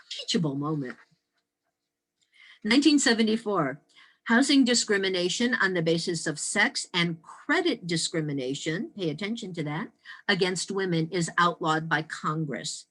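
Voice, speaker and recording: An American woman in her sixties giving a prepared talk as a storyteller, with deliberate, emphatic phrasing and dramatic pauses. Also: lowish voice, fairly bright tone, low-quality recording. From Detroit, Michigan, United States. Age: 60s. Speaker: storyteller and speaker. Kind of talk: prepared historical talk with personal stories.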